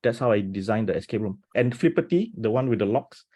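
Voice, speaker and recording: Husky low-voiced man, animated teacher. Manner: animated, talks fast with many restarts and rhetorical "right?". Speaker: teacher presenting at an education webinar. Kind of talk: enthusiastic webinar talk. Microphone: video call.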